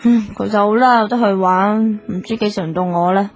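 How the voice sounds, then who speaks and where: A woman with a Hong Kong accent playing a girlish, wistful and anxious sidekick in a comic radio drama, with a cute drawn-out delivery. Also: mid-range voice, steady tone, soft background music, natural voice. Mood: envious, wistful.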